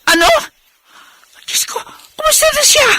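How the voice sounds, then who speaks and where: A woman playing a doting, easily worried middle-aged mother and grandmother in a Filipino radio drama, with expressive, exclaiming delivery. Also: very high-pitched, unhurried, full character voice. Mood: alarmed, worried. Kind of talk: Filipino radio melodrama acting.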